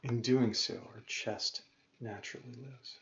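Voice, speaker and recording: A man leading a guided meditation as a yoga teacher, calm and gentle, giving measured instructions with pauses. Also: medium pitch. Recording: dull lo-fi recording with faint noise.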